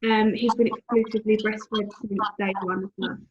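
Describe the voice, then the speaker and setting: A woman's voice: mid-range voice, normal speed, highs cut off, noisy background. A woman in her twenties speaking on a video call as a guest, hesitant and full of ums. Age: 20s. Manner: hesitant, many ums, even tone. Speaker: guest mother. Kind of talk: casual video call chat.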